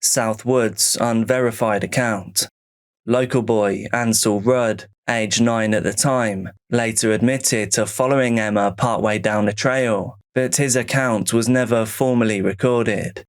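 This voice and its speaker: A man reading scripted horror narration in a measured, clipped manner, like a case-file report. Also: lowish voice, fairly even intonation.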